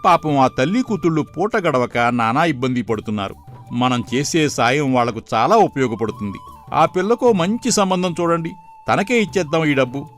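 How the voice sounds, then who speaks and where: A man's voice: on the high side, expressive, normal speed, a bit bright. Man acting a shrewd, firm village priest, music behind. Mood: earnest, advising. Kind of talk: moral story cartoon dialogue.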